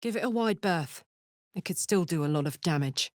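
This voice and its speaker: A woman's voice: lowish voice, lively intonation, moderate pace, dry recording, clean studio sound, natural voice. An actress with a British accent playing a brash, big-hearted, battle-hardened warrior woman in a fantasy video game, blunt and earthy in manner. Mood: alert, matter-of-fact.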